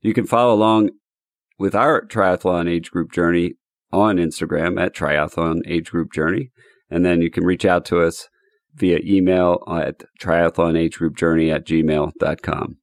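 Low-voiced man hosting a podcast. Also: steady tone, moderate pace, no room sound, clean studio sound. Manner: laughing, joking. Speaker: podcast host. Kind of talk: casual podcast chat. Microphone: remote recording setup.

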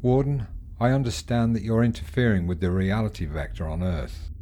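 Commanding director, acted by a man: mellow dark timbre, authoritative, formal, fiction podcast with faint ambience behind. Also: on the low side, steady tone, fairly quick, slight background noise, natural voice. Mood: stern, reprimanding. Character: stern male director, commanding superior of alien wardens.

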